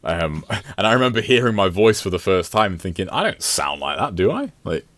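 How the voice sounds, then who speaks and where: A man with a low voice in a dry, close recording, chatting as a guest on a gaming podcast. He rambles along in a casual, self-deprecating way. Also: big pitch swings, fairly quick, fairly bright tone, dry recording. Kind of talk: casual conversational chat.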